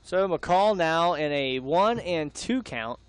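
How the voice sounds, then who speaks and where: A man's voice: on the high side, lively intonation, slow, clean tone. A young man doing live baseball play-by-play, mixing the calls with casual banter. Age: young adult.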